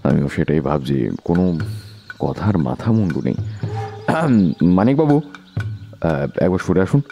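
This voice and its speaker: A deep, composed detective. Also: male voice, big pitch swings, gravelly, warm tone, soft background music, heavily acted. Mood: thoughtful. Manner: measured, firm, polite. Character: calm, commanding male detective, shrewd and composed.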